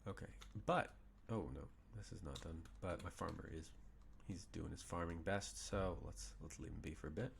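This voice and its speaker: Low, gravelly man thinking aloud. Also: moderate pace, hardly any pauses, a little hiss or hum. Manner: thinking aloud, puzzled muttering to himself. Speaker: game streamer. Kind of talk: casual gameplay commentary. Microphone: desk mic with webcam.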